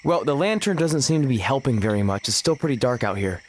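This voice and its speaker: An actor playing a sarcastic, reluctant wrestler hero in a video game, talking casually with uneasy wisecracks. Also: male voice, mid-range voice, animated, fast talker, a little hiss or hum, lightly acted. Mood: flustered.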